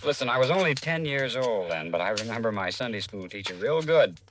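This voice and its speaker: An actor playing an earnest, folksy young American drifter in a 1950s radio drama, plain-spoken and deferential. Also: male voice, mid-range voice, animated, a little fast, close to an everyday voice. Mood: friendly, open. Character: earnest, folksy young male drifter pleading his identity. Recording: noisy old radio recording, clicks.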